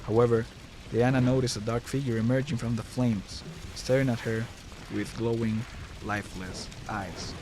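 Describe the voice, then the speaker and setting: A man's voice: medium pitch, steady tone, normal speed, noisy background. A man delivering scripted, dramatic narration for a horror audio drama, close on the mic.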